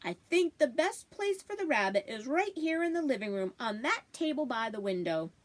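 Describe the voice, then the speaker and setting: A woman's voice: high-pitched, sing-song, moderate pace. A woman voicing a mild, reasonable father in a storybook reading.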